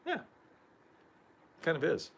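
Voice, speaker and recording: Slightly hoarse man chatting on a livestream. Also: takes it slowly. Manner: rambling, chuckling, frequent throat clearing. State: losing his voice. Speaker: livestream host. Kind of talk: casual livestream chat.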